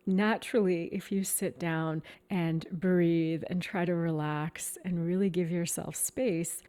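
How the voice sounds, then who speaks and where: A woman speaks in a notably low, warm voice. She talks gently and reflectively as a meditation teacher, slowing down on the words she wants to land, and keeps an unhurried, measured pace. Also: fairly even intonation, clean tone. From Canada. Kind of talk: reflective to-camera talk.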